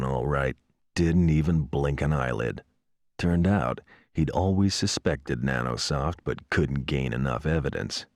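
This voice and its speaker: Very deep, husky hard-boiled cop. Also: male voice, no room sound, clean studio sound, full character voice. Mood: wry, bitter. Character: hard-boiled, cynical male police pilot narrator. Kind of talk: noir-style scripted game narration.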